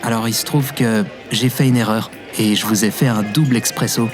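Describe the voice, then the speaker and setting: An actor playing an easygoing, forgetful, cheerful young barista in an audio drama, with a casual, good-natured manner. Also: male voice, on the low side, steady tone, a little fast, over music, close to an everyday voice. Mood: cheerful, friendly. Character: Timo, easygoing, forgetful, cheerful young male barista telling his past. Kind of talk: audio drama acting and informal storytelling.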